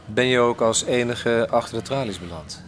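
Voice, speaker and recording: An actor playing a skeptical prisoner who asks dry, needling questions in a colloquial way in a radio play. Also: male voice, mid-range voice, normal speed, natural voice. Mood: probing. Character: probing, skeptical male fellow prisoner, drily needling. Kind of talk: Dutch radio play acting. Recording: faintly noisy radio drama recording.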